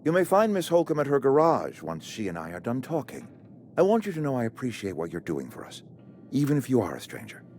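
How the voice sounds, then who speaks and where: A pompous administrator, swooping pitch. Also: male voice, wildly swooping pitch, fairly quick, darkish tone, a little hiss or hum. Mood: courteous. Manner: formal, wordy, officious. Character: pompous, courteous male company outpost administrator. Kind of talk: video game character acting.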